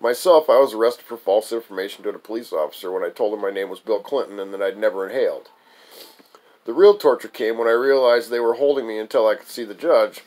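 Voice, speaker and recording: A man's voice: fairly low pitch, quick pace, a little mellow, rough recording. A man reading his own memoir aloud as a wry, amused storyteller. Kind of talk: memoir recitation, narrated reading.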